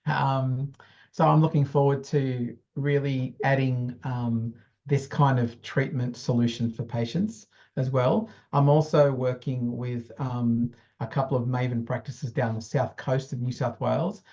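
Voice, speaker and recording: A man's voice: medium pitch, steady tone, a little slow, darkish tone, dull audio. A man introducing himself casually to a group as a dentist joining a course.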